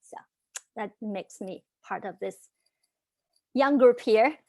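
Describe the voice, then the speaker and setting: A woman's voice: fairly high pitch, slow pace, a little mellow, dry recording. A young woman from China with a Chinese accent who talks with a heavy stutter, repeating sounds over and over, and shares a candid personal story with many fillers on a panel. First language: Chinese dialect and Mandarin. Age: young adult. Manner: frequent fillers, earnest and candid.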